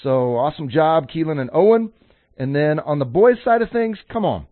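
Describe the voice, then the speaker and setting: A man's voice: on the high side, very animated, moderate pace, no echo. A man from Colorado co-hosting a local sports radio show, easygoing and reading out scores with enthusiasm. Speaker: radio co-host. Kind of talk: casual sports radio chat. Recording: dry, phone-like narrow sound.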